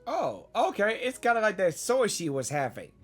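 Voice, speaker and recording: A woman's voice: mid-range voice, big pitch swings, normal speed, breathy edge. A woman giving casual, rambling, exclamatory reaction commentary as she plays a game. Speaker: gaming reaction video host. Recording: game music in background.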